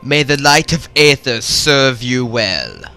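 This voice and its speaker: A man voicing a solemn dead alien temple sentinel with a put-on British accent, reading in a grave, measured, formal way. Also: mid-range voice, sing-song, bright, forceful tone, clean tone, soft background music, exaggerated voice.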